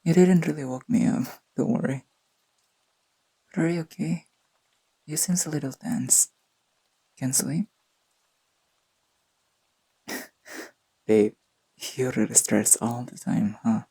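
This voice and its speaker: A performer voicing a gentle, teasing young boyfriend in an intimate sleep-aid roleplay, speaking softly and tenderly with a breathy, slightly husky voice. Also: medium pitch, fairly even intonation, takes it slowly, pauses often, low-quality recording. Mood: soft concern. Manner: tender, playful, murmured delivery.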